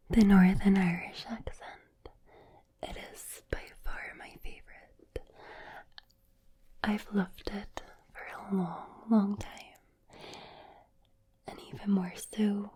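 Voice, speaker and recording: Slow whispering Scottish woman. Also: medium pitch. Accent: Glaswegian Scottish. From Glasgow, Scotland. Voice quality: breathy, unvoiced whisper. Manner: soothing, hushed, informal. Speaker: ASMR content creator. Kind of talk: whispered ASMR accent demonstration.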